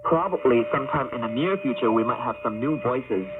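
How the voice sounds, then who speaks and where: A man's voice: mid-range voice, animated, fairly quick, heavy background noise. A man co-hosting a radio program in a casual announcing style, wordy and hesitant, often repeating himself. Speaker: radio host. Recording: tinny shortwave radio broadcast, music behind.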